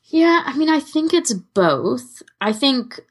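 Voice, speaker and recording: A woman podcast co-host with a husky voice, chatting casually in an informal, enthusiastic, hedging way. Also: sits high, sing-song, slow. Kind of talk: casual chat about fan fiction audio readings.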